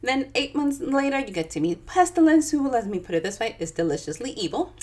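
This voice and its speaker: A woman's voice: high-pitched, brisk. A woman with a Dominican accent, an author chatting with viewers and then reading her own book aloud in a live session. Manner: chatty and animated, talking straight to viewers.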